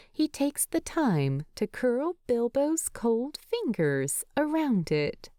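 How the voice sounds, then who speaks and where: A woman narrating a story in an expressive, tender way. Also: a little high, no echo, studio quality. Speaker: fan fiction narrator.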